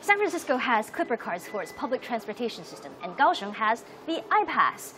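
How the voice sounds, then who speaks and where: A woman in her thirties, narrating a travel show as its host in an upbeat, chatty tour-guide manner, with loud music behind her. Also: a little high, sing-song, fairly quick, a little hiss or hum. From United States. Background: loud background music.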